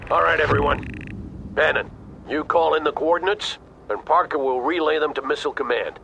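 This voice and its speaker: Seasoned American colonel: gravelly, slightly raised pitch, blunt command, military video game. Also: male voice, brisk, pushed and bright, highs cut off, a little hiss or hum. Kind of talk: video game cutscene acting.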